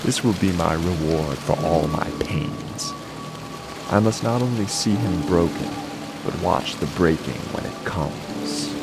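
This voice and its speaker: A man's voice: deep voice, measured pace, gravelly. An actor playing a vengeful, gloating king in a classical tragedy, grandiose and theatrical. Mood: cold anticipation. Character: vengeful gloating king savoring his brother's ruin. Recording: music under the voice.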